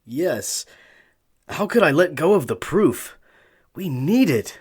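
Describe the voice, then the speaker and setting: A man playing a polite, stubborn, bookish young adventurer in an audio drama, earnest and wordy, with a husky voice. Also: a little high, very animated, slow, exaggerated voice. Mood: insistent.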